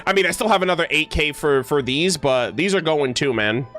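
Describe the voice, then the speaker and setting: Male game streamer, slightly high voice, loose slangy chatter full of self-corrections, music underneath. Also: brisk. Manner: rambling, self-correcting, slangy, animated asides. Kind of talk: casual livestream chat with viewers.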